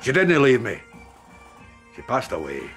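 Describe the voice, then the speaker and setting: An actor playing a belligerent, proud old Scottish father with a gravelly voice in a radio drama. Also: medium pitch, wildly swooping pitch, a little slow, music in the background.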